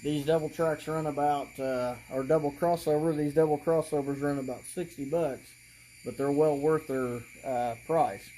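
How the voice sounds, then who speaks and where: A man casually presenting a hands-on hobby demonstration, talking haltingly and thinking aloud as he works. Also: fairly high pitch, fairly even intonation, mellow, a little hiss or hum, rough recording.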